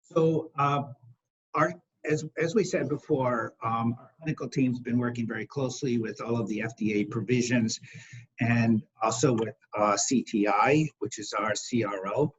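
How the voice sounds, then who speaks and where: A husky man speaking as a company executive, answering questions on an investor call in a halting way, repeating words for emphasis. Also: mid-range voice, a bit bright. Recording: dull, lo-fi conference call audio.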